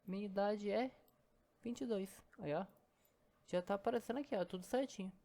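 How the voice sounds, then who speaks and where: A woman's voice: mid-range voice, fairly quick, gravelly, dark tone. A woman teaching programming in a casual screen-recorded lesson, chatty and step by step, often saying "ó" and "né".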